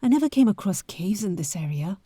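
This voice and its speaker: A woman playing a bold, caring but wary adventurer heiress in an audio roleplay, speaking to the listener with breathy asides and hesitant pauses. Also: mid-range voice, sing-song, warm tone, no echo, studio quality. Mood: hushed, wary.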